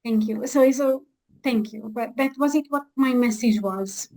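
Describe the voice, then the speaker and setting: A woman with a Hungarian accent asks a question on a video call, stumbling and repeating herself. Her voice sits a little high and has a warm, mellow tone. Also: low-quality recording. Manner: halting, repeats words, laughs nervously. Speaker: audience member asking a question.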